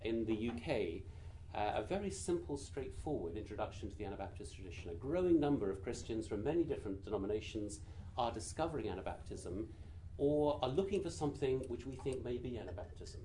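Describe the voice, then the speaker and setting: A man with a British accent and a naturally quiet voice, giving an informal talk to a group in a gentle, measured way with frequent small hesitations. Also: medium pitch, lively intonation, a little hiss or hum, poor audio. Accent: British English. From Britain. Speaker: guest speaker.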